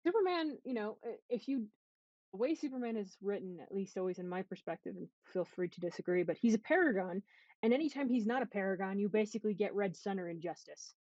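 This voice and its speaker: A woman's voice: medium pitch, expressive, quick pace, a little mellow, no room sound. Woman, casual podcast talk, analytical, emphatic, dull lo-fi voice chat audio, very little room sound. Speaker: podcast host.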